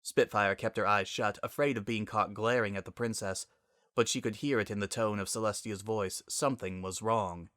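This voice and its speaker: A man's voice: fairly low pitch, fairly even intonation, moderate pace, a bit bright, dry recording, studio quality. A man reading a story aloud as narrator in a dramatic style.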